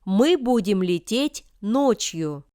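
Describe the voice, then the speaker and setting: Woman, slow careful lesson reading, smooth crisp voice, deliberate enunciation. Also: medium pitch, expressive, dark tone, no echo, studio quality. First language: Russian. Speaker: language lesson reader. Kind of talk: reading example sentences slowly for learners.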